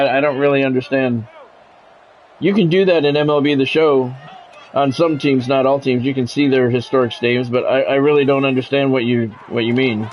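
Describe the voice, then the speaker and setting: A man's voice: mid-range voice, muffled recording, slight background noise. A middle-aged American man doing play-by-play of a football video game on a livestream, mixing polished, clipped announcer calls with casual chat to viewers.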